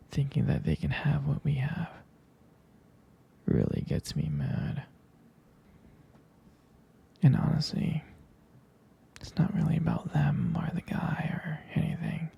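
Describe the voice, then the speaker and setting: A man playing a jealous, protective, tender boyfriend in an intimate ASMR roleplay, speaking softly and hesitantly in a breathy, husky, gravelly whisper. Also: mid-range voice, sing-song, exaggerated voice. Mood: possessive, earnest. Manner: slow, hesitant, soft-spoken.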